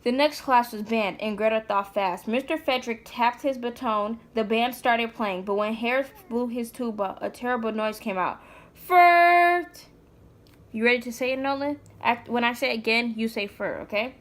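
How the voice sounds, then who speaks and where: A woman's voice: a little high, fairly even intonation, normal speed, hardly any pauses. A woman reading a children's picture book aloud in an informal way, with chatty asides to a small child. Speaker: mother reading aloud to a child, vlog host. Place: indoors, sitting on the floor.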